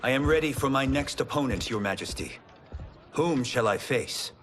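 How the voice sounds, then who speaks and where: An actor playing a humble, earnest young farmer turned fighter in a video game, speaking politely. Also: male voice, mid-range voice, expressive, normal speed, a bit bright, faint music behind, lightly acted. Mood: earnest, determined. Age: young adult. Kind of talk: cinematic video game voice acting.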